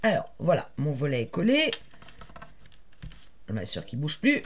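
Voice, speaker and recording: A woman with a naturally raspy voice and a head cold, chatting casually with many fillers as she explains a paper craft project step by step while she works. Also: low voice, wildly swooping pitch, normal speed, a little hiss or hum. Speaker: crafting tutorial host.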